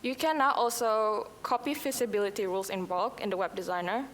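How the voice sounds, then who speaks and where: A woman whose first language is Indonesian, presenting a scripted software demo at a conference, with a few slips and restarts. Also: medium pitch, fairly even intonation, fairly quick, slight background noise. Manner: reads prepared lines, occasional slips and restarts. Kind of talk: scripted product demo talk. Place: conference hall. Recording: long hall reverb, faint ambience.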